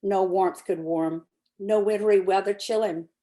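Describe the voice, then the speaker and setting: A woman reading a classic story aloud as narrator, with expressive, emphatic dramatic delivery. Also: medium pitch, measured pace.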